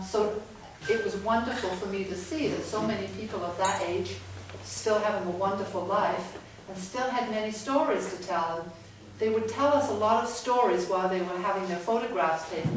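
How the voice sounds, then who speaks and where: A middle-aged woman with a loud voice gives a chatty, animated talk to an audience as a guest author. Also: mid-range voice, normal speed. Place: large echoey room from far off. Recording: echoey room, distant mic, faint noise.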